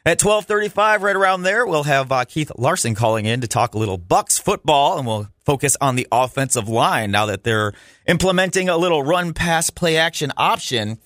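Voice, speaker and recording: A man's voice: a little high, expressive, normal speed, fairly bright tone, no room sound. Dry-sounding American man hosting sports radio. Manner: chatty, informal, opinionated. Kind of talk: casual sports talk radio commentary. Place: radio studio.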